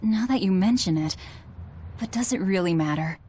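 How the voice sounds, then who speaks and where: A woman's voice: mid-range voice, expressive, normal speed, mellow, close to an everyday voice. A woman as a cool, blunt, sardonic heroine. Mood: dry. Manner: dry, blunt. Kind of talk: English anime game dub acting.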